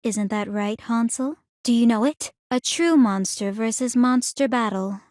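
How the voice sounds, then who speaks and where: An actress voicing a calm, clinically curious young woman in a video game, speaking in a formal, measured, coolly teasing way. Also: on the high side, dry recording, clean studio sound, natural voice. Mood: detached, faintly menacing. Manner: composed, measured, formal, inquisitive. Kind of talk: scripted video game character voice lines.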